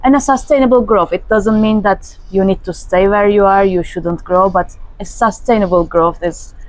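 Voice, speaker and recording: A woman from Turkey, a company general manager, answering interview questions in a calm, steady way. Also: medium pitch, moderate pace, no room sound, low-quality recording.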